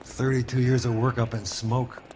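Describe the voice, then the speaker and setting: A man's voice: medium pitch, flat delivery, moderate pace, noisy background, close to an everyday voice. A man playing an older factory owner, hesitant, evasive and defensive, in a video game drama. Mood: guarded, hesitant.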